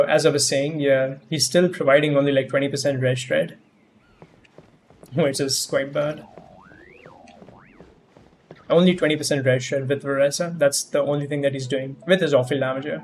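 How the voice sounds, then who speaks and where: A man's voice: on the high side, a little hiss or hum. A man livestreaming a game, commenting casually and thinking aloud in a rambling way. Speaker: game streamer. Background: game music.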